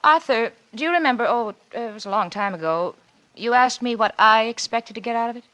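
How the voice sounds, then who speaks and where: An actress playing a cunning, coolly blackmailing wife in a 1940s radio suspense drama, with a coy, teasing, insinuating manner. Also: female voice, on the high side, brisk, a bit bright, close to an everyday voice, low-quality recording. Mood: coolly calculating. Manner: coy, teasing, insinuating drawl with theatrical swoops.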